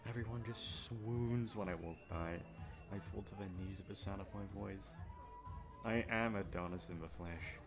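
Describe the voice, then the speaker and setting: A man plays a young playboy in an ASMR roleplay. His voice sits low with a lot of gravelly fry, and his informal talk swings between cocky swagger and shy, halting fluster. Faint music plays behind him. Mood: smug. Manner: informal, halting, tongue-tied around crush. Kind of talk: ASMR roleplay audio drama.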